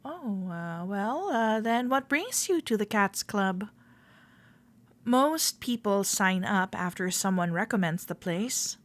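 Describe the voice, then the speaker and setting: A woman playing a friendly, playful club member in a roleplay audio, gently reassuring a shy newcomer as she speaks directly to the listener. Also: mid-range voice, animated, takes it slowly. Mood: curious, puzzled. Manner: gentle, reassuring, playful, hesitant soft-spoken chattiness.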